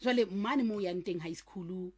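A woman's voice: lowish voice, moderate pace, crowd noise. A South African woman hosting an interview, asking questions and encouraging her guest with frequent murmurs of agreement. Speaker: interview host. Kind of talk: conversational interview, mixing Sesotho and English.

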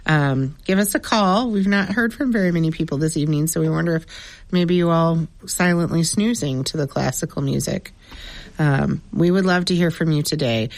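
A woman managing a radio station, making an earnest on-air pledge appeal with many fillers, her voice raspy as she loses it. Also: low-pitched, normal speed, no echo. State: losing her voice.

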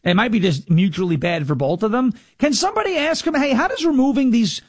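High voiced guy ranting on talk radio, indignant and mocking, dead dry sound. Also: expressive, fast, no echo. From New York, Long Island. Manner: sarcastic, indignant, mocking impressions. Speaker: radio talk show host. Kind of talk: political talk radio monologue.

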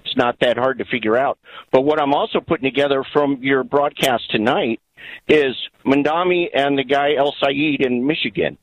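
A man's voice: mid-range voice, no echo, muffled recording. A man calling a political radio show by phone, making his case earnestly and insistently over a band-limited line. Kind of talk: political opinion on call-in radio. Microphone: telephone.

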